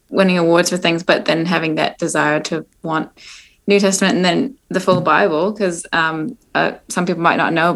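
A woman co-host explains some background and then asks the guest a question. Her voice is very low with a gravelly fry. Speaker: podcast co-host. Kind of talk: interview questions.

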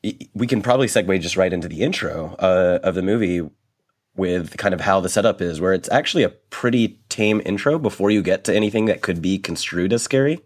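Young male podcast host, low pitch, tired voice, halting casual delivery. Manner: hesitant, many ums and restarts. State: voice worn after a late party.

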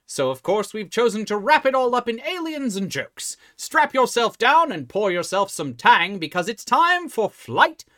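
A theatrical host introducing pieces in a show. The delivery swings dramatically up and down around a middle pitch, wry and showy, clearly scripted. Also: sing-song, normal speed, fairly bright tone.

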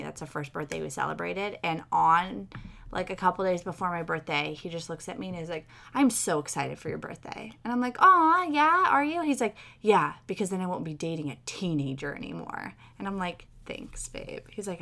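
Gravelly-voiced young woman. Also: on the low side, sharp tone. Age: 20s. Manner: chatty, quick storytelling. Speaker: podcast co-host. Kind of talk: casual chat.

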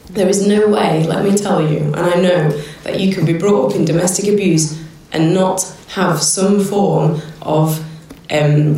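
A woman's voice: lowish voice, mellow. A woman speaking on a conference panel, earnest and passionate, at times a little nervous. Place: large conference room. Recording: echoey hall, captured from far off.

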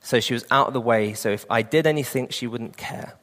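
A man's voice: mid-range voice, brisk, a bit bright, big-room sound. A man in his late twenties preaching a sermon and sharing an earnest personal testimony. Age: 20s.

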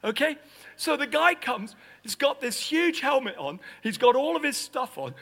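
A middle-aged man from Nigeria preaches with a lively, storytelling delivery. His voice sits high for a man and sounds bright and forceful. He acts out each scene as he tells it. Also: moderate pace. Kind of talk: storytelling sermon.

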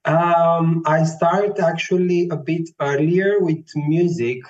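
Brief: Romanian-accented male tenor, 30s, unhurried and searching for words, muffled audio. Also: on the high side, steady tone, darkish tone. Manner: slow, thoughtful, searching for words. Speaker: classical tenor, guest. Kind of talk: reflective interview answers. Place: Vienna. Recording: dull lo-fi sound, faint music.

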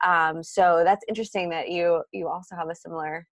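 Woman podcast co-host, low voice, reflective and hesitant, dry close sound. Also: steady tone, a little fast, dry recording. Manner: hesitant, reflective, many fillers. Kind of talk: casual podcast conversation.

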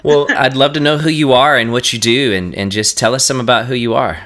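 A man's voice: mid-range voice, brisk, a bit bright. A man hosting a podcast interview, talking in an earnest, enthusiastic and rambling way. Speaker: podcast host. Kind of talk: casual interview chat.

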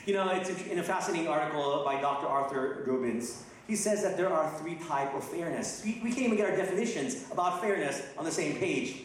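A man's voice: on the high side, brisk, few pauses, slight background noise. A middle-aged man, from the Philippines and raised in Texas, preaching a sermon as a guest pastor in a casual way with many fillers and restarts. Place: church sanctuary. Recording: distant mic in an echoey hall.

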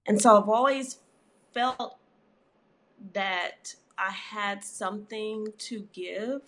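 A woman's voice: medium pitch, slow pace. Middle-aged woman, therapist guest, reflective and conversational, filler-heavy. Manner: warm, reflective, frequent "you know" fillers. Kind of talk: conversational interview.

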